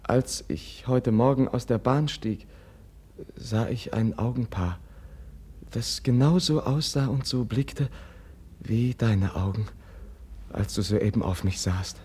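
An actor in a German radio play, playing a gentle, frail young prince who speaks softly, earnestly and hesitantly. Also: male voice, mid-range voice, slow pace, slightly rough. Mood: troubled, searching. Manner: soft, hesitant, searching.

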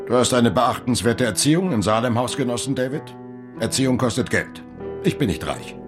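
An actor playing a cold, domineering stepfather in a German radio drama, lecturing in a measured, formal way. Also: mid-range voice, expressive, over music, lightly acted. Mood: cold, stern. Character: cold, stern, domineering stepfather of a young boy.

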